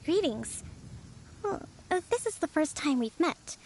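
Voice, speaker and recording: A woman playing a gentle, courteous young priestess who leads a resistance in a video game, speaking politely and formally. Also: high-pitched, very animated, measured pace, a little hiss or hum, heavily acted. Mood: courteous, composed.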